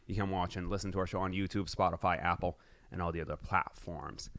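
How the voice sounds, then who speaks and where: A man's voice: low voice, fairly even intonation, fast talker, a bit bright. A man hosting a podcast, interviewing a guest in a casual, conversational way. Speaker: podcast host.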